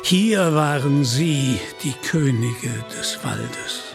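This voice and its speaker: A man reads a scripted storybook narration in a measured way. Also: mid-range voice, expressive, gravelly, music in the background.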